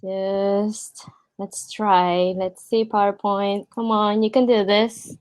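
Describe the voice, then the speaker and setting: A woman's voice: medium pitch, flat delivery, takes it slowly, a little mellow. A woman from Puerto Rico, a native Spanish speaker with a Puerto Rican accent, hosting an informal online presentation in a chatty way. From San Juan, Puerto Rico. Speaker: host, product designer reviewing a portfolio. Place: remote video call.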